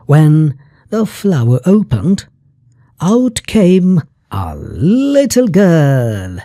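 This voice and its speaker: A storyteller reading a children's fairy tale aloud for English learners, in deliberate chunks with pauses and an exaggerated rise and fall. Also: mid-range voice, wildly swooping pitch, mellow, no room sound, clean studio sound.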